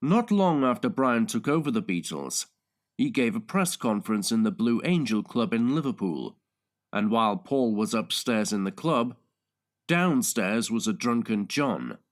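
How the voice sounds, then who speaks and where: Measured male audiobook narrator, unhurried. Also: mid-range voice, lively intonation. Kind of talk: scripted dramatic and factual narration.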